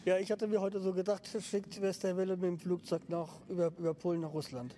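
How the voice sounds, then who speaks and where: A podcast host interviewing a band, easygoing and quick with short questions. His voice sits a little higher than most men's. Clear background noise runs through the recording. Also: little pitch movement. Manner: friendly, asks short questions, laughs often.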